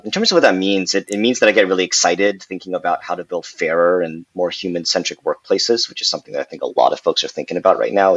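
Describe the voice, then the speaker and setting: A young man from Southern California speaks as an interview guest, his voice very low and his delivery animated and chatty, full of ums and laughs. The sound is dry and a little dull, like a modest lo-fi recording. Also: dry recording. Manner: animated, laughs often, frequent ums and likes. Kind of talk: conversational interview.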